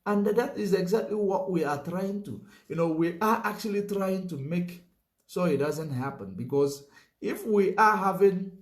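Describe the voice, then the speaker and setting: A man's voice: high voice, reverberant. A man giving a political commentary monologue, emphatic, repeating his points and filling gaps with "you know".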